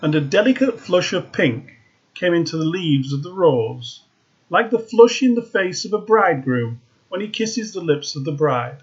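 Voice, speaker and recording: A man reading a fairy tale aloud, slow and expressive with dramatic emphasis. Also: on the high side, lo-fi sound. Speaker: storyteller.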